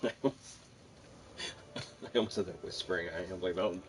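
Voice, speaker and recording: A man's voice: low voice, fairly even intonation, moderate pace, a little hiss or hum. A man giving casual gameplay commentary, rambling and joking as he thinks aloud. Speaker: gamer commenting on a playthrough.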